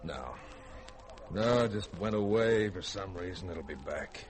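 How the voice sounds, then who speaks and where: A man acting a gruff but caring starship skipper in a sci-fi radio drama, giving clipped military orders. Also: low voice, animated, measured pace. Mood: grim, resolute. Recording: hissy vintage radio drama recording.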